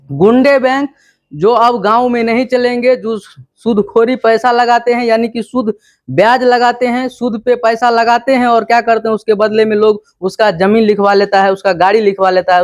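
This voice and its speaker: A man with a Bihari accent explaining news informally, in an emphatic, repetitive, instructive way. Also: high voice. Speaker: presenter of a local news explainer channel.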